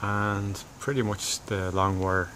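A deep-voiced man talks through a home DIY job in an even, low-key tone. He pauses and thinks aloud as he explains, in a casual hobbyist style, with ambient noise on the recording. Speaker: hobbyist presenter. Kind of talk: casual DIY demonstration talk.

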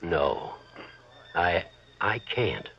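A man's voice: low-pitched, big pitch swings, drawn-out words, vocal fry, heavily acted. An actor playing a bored, politely agreeable man on a date, giving short hesitant replies in 1940s radio style. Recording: muffled vintage radio recording.

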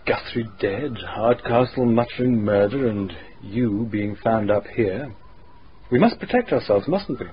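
An actor playing a flippant, well-connected young English gentleman in a radio drama. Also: mid-range voice, lively intonation, takes it slowly. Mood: breezy, urgent. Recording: muffled radio recording, faint noise.

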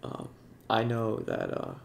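Young man, gravelly congested voice, halting and soft-spoken, faint hiss behind. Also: lowish voice, huge pitch swings. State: congested, sniffling. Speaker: YouTuber. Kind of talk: casual talk over gameplay.

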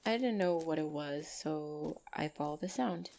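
A woman playing a gentle, shy orc lover in an ASMR roleplay, speaking softly and hesitantly with tender pauses. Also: deep voice, gravelly. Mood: wistful, tender.

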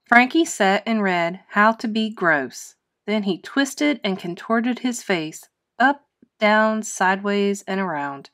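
Slow-paced woman reading a storybook. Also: mid-range voice. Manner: expressive, deliberate storytelling. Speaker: storybook reader. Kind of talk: children's read-aloud narration.

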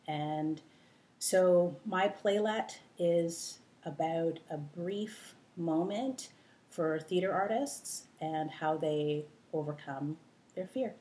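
A woman playwright speaking reflectively and in a measured way to camera, indoors at home. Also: fairly low pitch, mellow.

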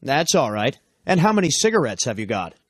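Guy acting an airport character, husky, lively pitch swings, dry sound, lesson dialogue. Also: brisk, no echo. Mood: businesslike. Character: brisk official or traveller at an airport.

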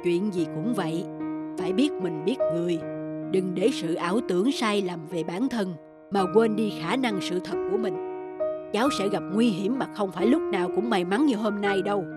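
A kindly monkey elder from a cartoon fairy tale, voiced by a woman. The medium-pitched voice counsels gently and wisely, with music clearly behind it. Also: normal speed, natural voice. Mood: gently advising. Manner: gentle, advising. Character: kindly, wise adult monkey uncle. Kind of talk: animated fairy-tale acting.

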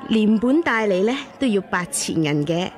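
A woman's voice: medium pitch, expressive, normal speed, soft background music, close to an everyday voice. A woman acting in a radio drama as a wily, money-minded old matchmaker, chatty and wheedling.

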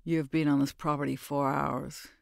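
Cold American man in his forties, quiet low menace, clipped, put on by a reader. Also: level tone, moderate pace, vocal fry, dry recording, studio quality. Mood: menacing.

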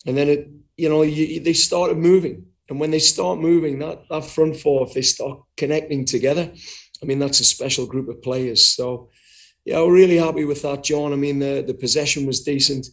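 A man's voice: a little high, steady tone, normal speed. A middle-aged man answering as head coach in a post-match press conference, talking frankly and often repeating words while he thinks, over a video call. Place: indoors before a sponsor backdrop.

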